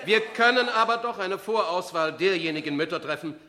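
Harried official, high-pitched, over crowd clamour. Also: male voice, animated, fairly quick. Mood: exasperated, insistent. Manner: formal, insistent, repeatedly calling for quiet. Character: harried male official marshalling an unruly crowd of mothers. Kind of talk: 1970s German radio play acting. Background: noisy crowd in a hall.

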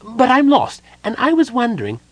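A man's voice: high voice, very animated, normal speed, husky, dry recording, pitched above the performer's own voice, exaggerated voice. A man voicing a cheerful, polite, chatty small male kender wanderer in a fantasy audiobook. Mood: polite, kindly. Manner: polite, eager, apologetic.

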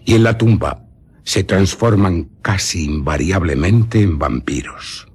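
An actor playing an eccentric old baron and vampire scholar in a Castilian Spanish radio drama, telling his tale dramatically with lingering pauses and a very raspy voice. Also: deep voice, lively intonation, darkish tone, full character voice. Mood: grave, instructive. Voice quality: very husky, raspy.

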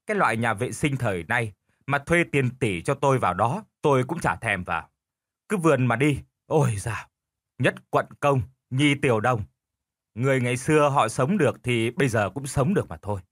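A man's voice: medium pitch, lively intonation, normal speed, a bit bright, dry recording, studio quality. A man voicing a stubborn, lazy older husband who grumbles, argues and scolds in a storybook. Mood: stubborn, dismissive.

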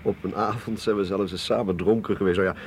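A man's voice: sits low, fairly even intonation, fast. A man playing an affable, candid military captain and scientist in a radio drama, conversational and slightly hesitant. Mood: candid, reassuring. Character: affable male navy or army captain, modest, candid scientist. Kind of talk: Dutch radio drama acting. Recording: faint vehicle noise behind voice.

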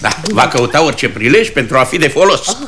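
An actor playing a pompous small-town mayor who gives officious orders and defers to rank, in theatrical radio comedy style. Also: male voice, medium pitch, sing-song, sharp tone. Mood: excited, bustling. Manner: grandiose, officious, bustling orders.

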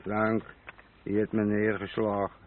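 A man playing a timid, gravel-voiced older burglar in a radio play. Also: lowish voice, monotone, measured pace, slight background noise, lightly acted. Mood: weary, rueful. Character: timid older male burglar, pleading against violence. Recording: tinny old radio recording.

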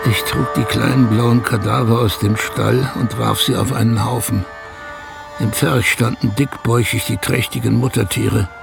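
Gravelly, despairing shepherd. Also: male voice, mid-range voice. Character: despairing male shepherd recounting a lambing disaster, weary and bitter. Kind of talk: radio drama first-person narration. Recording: music under the voice.

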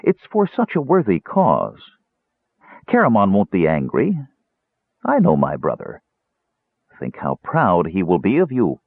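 A man voicing a cool, manipulative male mage in a fantasy audiobook, soft-spoken, controlled and commanding. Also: mid-range voice, huge pitch swings, moderate pace, breathy edge, dry recording, pitched above the performer's own voice, exaggerated voice. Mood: soothing, persuasive. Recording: narrow tinny radio-like band.

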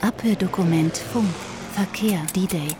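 A woman's voice: mid-range voice, unhurried, noisy background, close to an everyday voice. A woman reading scripted documentary narration.

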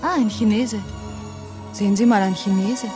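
A woman's voice: medium pitch, moderate pace, warm tone, close to an everyday voice. An actress playing an insistent, uneasy genteel woman in an absurdist radio play, speaking in repetitive short phrases. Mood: coaxing insistence. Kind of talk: experimental radio play acting. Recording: 1970s radio play with music behind. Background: music.

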